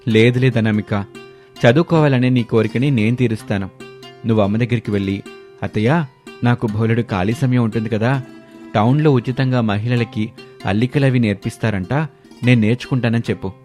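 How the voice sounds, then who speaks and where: Man playing a young husband, gentle, reassuring, mid-pitched, cartoon family story, faint music. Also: a little fast, close to an everyday voice. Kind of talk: dramatized moral story for a cartoon.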